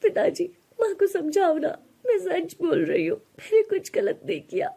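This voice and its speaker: Wronged young daughter, played by a female narrator: high voice, soft-toned, pleading, dutiful. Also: moderate pace, creaky. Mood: tearful protest. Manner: pleading, plaintive.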